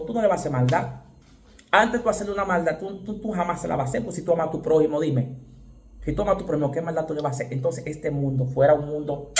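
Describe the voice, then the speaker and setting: Male preacher, Dominican accent, fairly high voice, fervent sermon, emphatic repetition, sudden shouted bursts. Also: reverberant. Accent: Dominican Spanish. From Dominican Republic. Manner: repeats words and phrases for stress.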